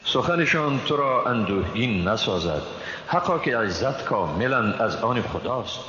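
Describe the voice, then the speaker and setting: A man with an Afghan Dari accent reads a scripture translation in a formal, solemn, measured way. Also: medium pitch, big pitch swings, bright, forceful tone. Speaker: translation reader. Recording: reverberant hall, dull, noisy background.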